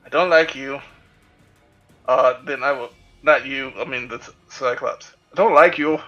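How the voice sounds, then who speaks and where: A man's voice: medium pitch, a little slow, fairly bright tone, muffled recording, faint music behind. A man playing a tabletop game over voice chat, talking casually with many fillers and hesitations. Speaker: tabletop player.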